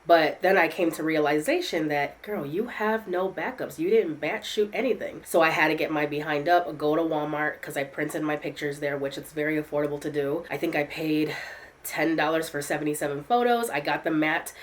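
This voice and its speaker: A woman's voice: low voice, moderate pace. A woman content creator chatting candidly to her viewers in a casual vlog, congested from a head cold. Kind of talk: casual talking-to-camera vlog.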